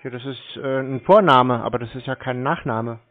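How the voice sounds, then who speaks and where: A man talking curtly on a phone call, deadpan and mocking. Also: medium pitch, moderate pace. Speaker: scam-baiter taking phone calls. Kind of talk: unscripted phone conversation. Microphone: telephone call recording. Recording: tinny narrow-band phone line.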